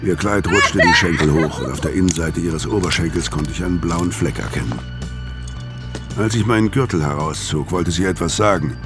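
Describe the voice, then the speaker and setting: A grim killer who narrates a noir radio play in the first person. His voice is deep, hard and controlled, with music clearly playing behind it. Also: moderate pace. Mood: cold, grim.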